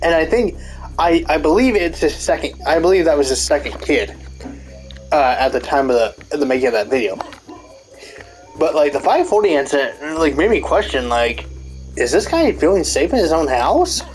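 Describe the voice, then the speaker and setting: A man's voice: on the high side, very animated, moderate pace, faint music behind, poor audio. A man in a casual, rambling commentary rant, full of fillers and restarts, swearing often, with a stuffy nose. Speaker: commentary YouTuber.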